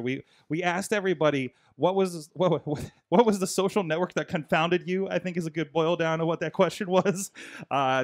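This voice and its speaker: A man's voice: on the high side, no room sound. A middle-aged man hosts a casual tech podcast, rambling and restarting his sentences as he goes. The sound is dry and close, from a large studio mic in a small foam-lined booth. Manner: rambling, halting, with many restarts. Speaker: podcast host. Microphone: large studio mic, headphones.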